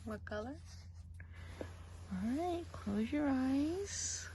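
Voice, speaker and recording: A woman voicing a gossipy woodland witch who gives a soft, half-whispered ASMR eye exam. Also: high voice, expressive, extremely slow, a little hiss or hum, voice pushed up, full character voice. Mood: gentle. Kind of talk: ASMR role play.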